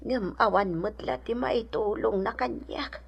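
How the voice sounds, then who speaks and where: A woman's voice: mid-range voice, gravelly, breathy edge, lo-fi sound. A woman playing a poor, sickly, grateful old village woman with an Ilocano accent in a radio drama, speaking plaintively in a frail voice. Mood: weary, plaintive. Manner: slow, plaintive. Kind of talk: Filipino radio drama acting. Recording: radio drama with music underneath.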